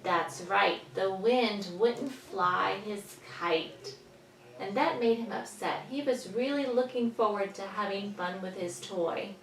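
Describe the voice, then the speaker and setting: A woman teaching young children, patiently explaining in simple words and pausing after questions, as in a story lesson. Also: mid-range voice, a bit bright. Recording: echoey room, distant mic, faint noise.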